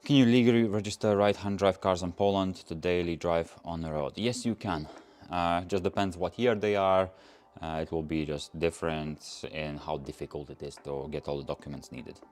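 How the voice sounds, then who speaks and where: A man's voice: deep voice, moderate pace, hardly any pauses, darkish tone. A young man from Latvia, not a native English speaker, casually answering chat questions on a livestream and rambling with lots of fillers. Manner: loose and rambling, many fillers and restarts. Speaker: car channel host.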